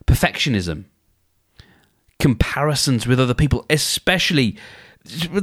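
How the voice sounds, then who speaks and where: Man, podcast host, solo monologue, animated intonation, casual thinking aloud. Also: mid-range voice. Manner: conversational, thinks aloud, acts out inner dialogues; frequent restarts and filler phrases.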